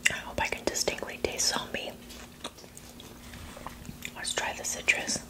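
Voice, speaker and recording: A woman in her thirties whispering in a hushed, breathy ASMR style, close to the microphone. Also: unhurried. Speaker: ASMR creator tasting candy. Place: small indoor setup with fabric backdrop. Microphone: close tabletop microphone.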